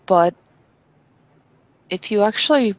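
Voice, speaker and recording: A woman playing Bettina, an earnest and devout kinfolk woman, arguing insistently with halting restarts in a tabletop roleplay. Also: medium pitch, animated, no room sound, highs cut off. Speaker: player character.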